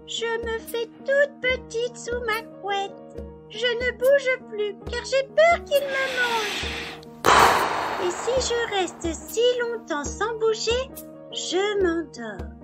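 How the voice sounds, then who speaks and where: A woman's voice: very high voice, animated, slow, music in the background, full character voice. A woman playing a timid three-year-old girl telling her story simply in a children's storybook. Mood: timid, confiding.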